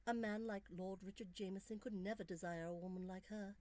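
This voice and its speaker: A woman author reading her own gothic romance story as scripted narration, measured and expressive. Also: mid-range voice, no echo.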